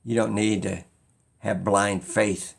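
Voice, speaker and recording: Slow-talking man with a gravelly, creaky voice. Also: fairly low pitch, level tone. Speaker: video creator. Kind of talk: unscripted opinion monologue.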